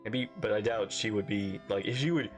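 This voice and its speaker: A woman's voice: extremely low pitch, a little fast, faint music behind. A woman streamer giving casual live game reaction commentary, chatty and thinking aloud.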